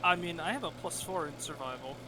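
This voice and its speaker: Higher-voiced man, clearly noisy recording. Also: brisk. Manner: loose, sweary, filler-heavy. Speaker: tabletop game player. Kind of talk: casual improvised game chat.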